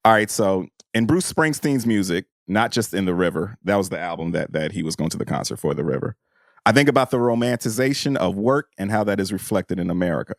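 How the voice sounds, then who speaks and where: A man's voice: deep voice, animated, fast talker, creaky, no room sound, studio quality. A man co-hosting a podcast, talking through and reading from a book in an informal, slangy way. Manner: informal, peppered with slang and "you know". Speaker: podcast co-host. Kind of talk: casual book discussion and reading passages aloud.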